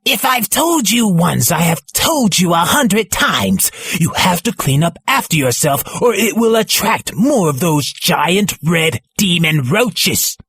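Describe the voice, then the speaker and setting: Man playing a high, raspy, fussy goblin villain. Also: very animated, slow pace, fairly bright tone, no room sound, exaggerated voice. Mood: scolding. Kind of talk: animated comic fandub acting. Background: faint music.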